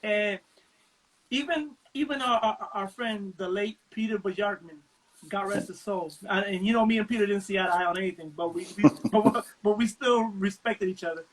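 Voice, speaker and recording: A middle-aged man born in Cuba and raised in America, his voice sitting high for a man. He argues his points emphatically in casual chat, stacking up repeated words as he gets going. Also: moderate pace, low-quality recording. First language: English. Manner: emphatic, repeats words when starting sentences. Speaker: Cuban baseball blogger.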